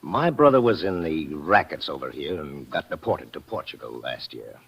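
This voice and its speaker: An actor playing a rugged, tough gangster type with a rough husky voice in 1950s radio drama style. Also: male voice, rumbling low, animated, normal speed, exaggerated voice. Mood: gruff, insistent. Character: rugged male agent posing as a tough gangster.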